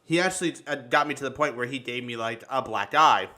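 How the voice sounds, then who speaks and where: A man chatting casually and telling personal stories, halting and repeating words, swearing freely. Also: mid-range voice, bright, forceful tone. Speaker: livestream talk show host. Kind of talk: casual chat, personal storytelling.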